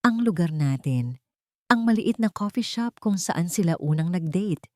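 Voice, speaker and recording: A woman reading a scripted romantic drama as a storyteller, with a measured, dramatic delivery. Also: fairly low pitch, expressive, a little mellow, dry recording, studio quality. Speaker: story narrator.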